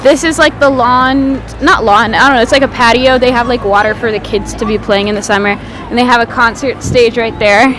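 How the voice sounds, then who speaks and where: A woman's voice: fairly high pitch, steady tone, brisk, noisy background. A young woman chatting to her handheld camera as she vlogs outdoors, giggly and talkative. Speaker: vlogger. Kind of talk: casual vlog chat. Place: outdoors at a carnival and town center. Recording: outdoor camera audio with crowd and music.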